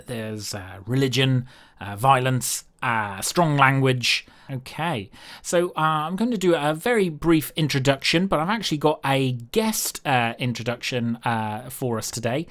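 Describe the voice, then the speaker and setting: A man's voice: mid-range voice, animated, a little slow, fairly bright tone, dry recording. A British man hosting and narrating a tabletop roleplaying game as game master, feeling his way through sentences with self-corrections. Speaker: game master and podcast host.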